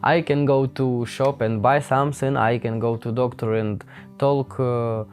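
Young male vlogger with a Ukrainian accent, talking off the cuff, very slow and full of hesitations. Faint guitar music plays underneath. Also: mid-range voice, flat delivery, darkish tone. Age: young adult. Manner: halting and hesitant, with many pauses and fillers. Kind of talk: unscripted casual talk to camera.

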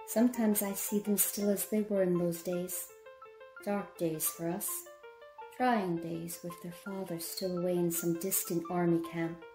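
A woman playing a gentle mother wistfully recalling her daughters, narrating an old-time radio drama. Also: on the low side, steady tone, unhurried. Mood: wistful, tender. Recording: roomy echo, music underneath.

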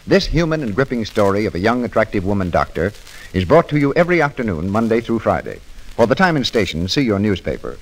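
A man's voice: on the low side, very animated, fast talker, rough recording. An American man making formal, emphatic announcements in 1930s radio style.

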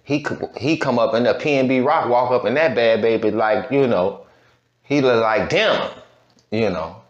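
A man's voice: mid-range voice, moderate pace. A man hosting a reaction video, talking casually and rambling with lots of "you know what I'm saying.". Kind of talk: casual commentary. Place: home studio with green-screen backdrop. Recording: roomy, slightly dull sound.

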